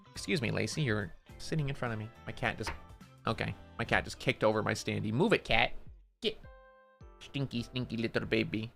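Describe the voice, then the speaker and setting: Young male streamer, nasal, loud and slangy, bright edge. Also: mid-range voice, vocal fry. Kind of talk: casual stream chat.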